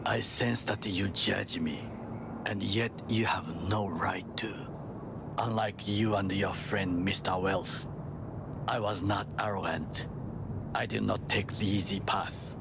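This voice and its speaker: A man playing a solemn, proud older corporate bodyguard in a video game, speaking formal, measured English with a Japanese accent and a gravelly voice. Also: lowish voice, fairly even intonation, noisy background. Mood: stern, reproachful. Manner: formal, measured, deliberate phrasing. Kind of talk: cinematic video game acting. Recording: loud music under the voice.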